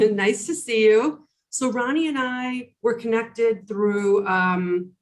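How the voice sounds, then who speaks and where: A woman's voice: fairly high pitch, slow pace. A woman hosting a podcast interview over a video call, bubbly and enthusiastic, rambling with fillers. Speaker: podcast host. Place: Chicago.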